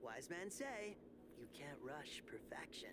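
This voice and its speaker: A man's voice: on the high side, very animated, a little slow, noisy background. An actor voicing a goofy, laid-back young male mutant turtle who jokes in surfer slang. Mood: playful. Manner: surfer slang, playful, jokey. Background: game music.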